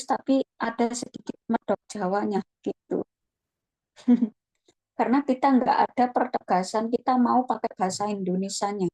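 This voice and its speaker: A woman walks viewers through an online tutorial in a relaxed, chatty way, pausing to think aloud with fillers. Her voice is slightly high and mellow, picked up close and dry. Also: dry recording, poor audio. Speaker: webinar presenter.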